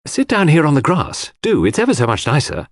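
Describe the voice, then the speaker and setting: A man voicing a lively young woman in an audiobook, breezy and playfully emphatic. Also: on the high side, fairly quick, dry recording, studio quality, voice pushed up.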